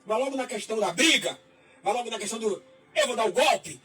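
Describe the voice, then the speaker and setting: A man's voice: very high-pitched, expressive, quick pace, bright, forceful tone. A man, hosting a radio talk show in a chatty, folksy manner. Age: 50s. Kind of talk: casual radio interview. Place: radio studio. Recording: distant mic, faint music underneath.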